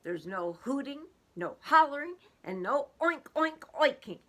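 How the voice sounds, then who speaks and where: A woman's voice: a little high, big pitch swings, unhurried, sharp tone, voice pushed up, heavily acted. A woman voicing a strict, proud male farmer in a storybook read-aloud, firm and emphatic. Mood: stern. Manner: firm, emphatic, stressing each listed sound.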